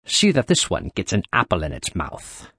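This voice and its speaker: Man doing a pompous gloating tycoon, husky. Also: low-pitched, huge pitch swings, moderate pace, bright, forceful tone, dry recording, studio quality, pitched below the performer's own voice, exaggerated voice. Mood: cold gloating. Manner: declaiming, pompous. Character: pompous, ghoulish corporate man gloating over world power. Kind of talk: audiobook character acting.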